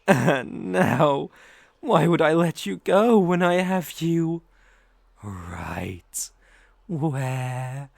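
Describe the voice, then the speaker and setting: A man's voice: a little high, big pitch swings, drawn-out words, creaky, no echo, studio quality, exaggerated voice. A man with a British accent playing a sadistic, predatory werewolf in an ASMR roleplay, theatrical and menacing, savoring his words. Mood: possessive, hushed. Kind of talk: ASMR roleplay audio drama.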